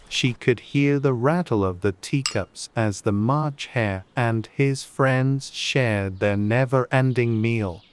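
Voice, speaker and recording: A man narrating a classic storybook as a scripted audiobook. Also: mid-range voice.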